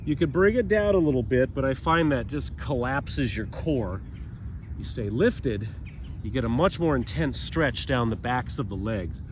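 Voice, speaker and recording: A man leading a stretching routine as fitness instructor, cueing calmly and chatting in between, with a slightly stuffy nose, outdoors. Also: medium pitch, a little slow, slight background noise. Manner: calm, chatty cueing with breathy sighs.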